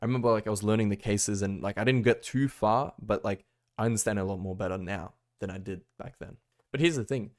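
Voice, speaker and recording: Young man, low-voiced vlogger. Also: brisk. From Australia. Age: young adult. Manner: rambling, filler-heavy, lots of "like". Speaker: language-learning vlogger. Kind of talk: casual talking-head vlog. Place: small bedroom, indoors.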